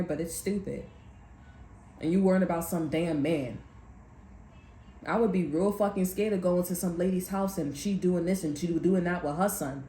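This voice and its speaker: A woman's voice: mid-range voice, dark tone. A woman giving a casual tarot reading, rambling and blunt, who swears when she gets heated. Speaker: tarot reader. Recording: echoey room with faint background noise.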